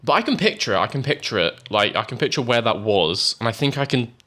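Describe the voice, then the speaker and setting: A man with a bright, forceful voice and very lively pitch movement. He banters casually as a quiz contestant, teasing and joking as he goes. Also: fast. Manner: jokey, teasing.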